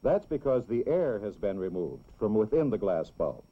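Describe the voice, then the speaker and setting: Casting: male narrator for a children's instructional film, measured and explanatory, dull vintage soundtrack sound. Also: on the low side, very animated. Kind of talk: scripted educational film narration.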